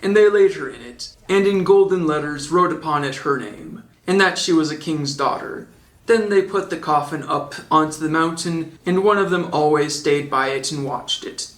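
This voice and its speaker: Higher-pitched man narrating, echoey room. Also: moderate pace, fairly bright tone. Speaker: audiobook narrator. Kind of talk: storybook narration with joking asides.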